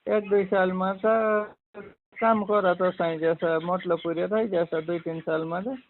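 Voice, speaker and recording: A man with a creaky voice talking casually on a phone call in a rambling, repetitive way. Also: high voice, steady tone, normal speed. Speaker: prank call participant. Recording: narrow phone line with faint noise.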